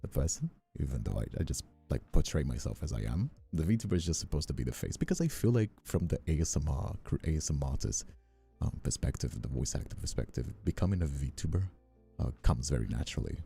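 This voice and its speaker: A man's voice: a bass voice, big pitch swings, quick pace, creaky, warm tone, slightly rough. A man hosting a casual live stream chat, talking in an easygoing, conversational way. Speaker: host, streamer and voice actor. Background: faint background music.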